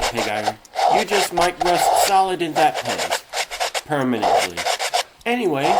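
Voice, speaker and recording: A man's voice: on the high side, heavy background noise. A man voicing a weary, good-natured, wisecracking rabbit comic artist who chatters wryly to himself in a cartoon comic dub.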